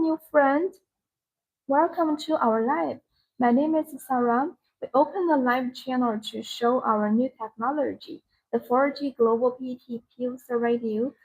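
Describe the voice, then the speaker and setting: Non-native english lady doing a live product demo, kinda high voice w/ lots of creak, repeats herself in simple phrases. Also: a little mellow, rough recording. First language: not English. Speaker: live-stream sales presenter. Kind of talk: live product demonstration sales talk.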